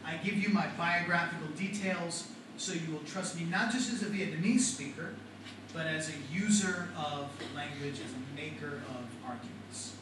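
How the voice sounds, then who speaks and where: Middle-aged guy teaching a class, high-ish voice, super echoey room, mic way off. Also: moderate pace. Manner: animated, talks to the class with questions. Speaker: writing and rhetoric teacher. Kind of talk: classroom lecture. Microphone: far from the mic. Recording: echoey classroom from far off, noisy.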